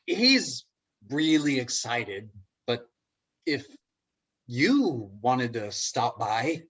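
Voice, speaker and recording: A man playing an awkward, wry, nervously hopeful office worker in a comedic play reading, speaking haltingly. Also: medium pitch, sing-song, a bit bright, slightly rough, highs cut off, full character voice.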